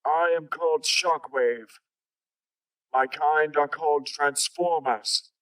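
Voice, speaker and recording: Male actor as imperious alien robot, formal and grand, slightly higher pitch, a bit off-mic. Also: takes it slowly, a bit bright, far from the mic, low-quality recording. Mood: imperious, calm. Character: cold commanding alien living machine, male. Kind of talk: comic dub voice acting.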